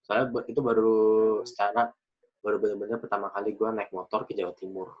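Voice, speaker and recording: A man of about twenty, hosting a casual podcast chat, chatty and quick to laugh. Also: lowish voice, level tone, breathy edge. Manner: chatty, laughs often, loose slangy talk. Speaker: podcast host. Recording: dull lo-fi recording.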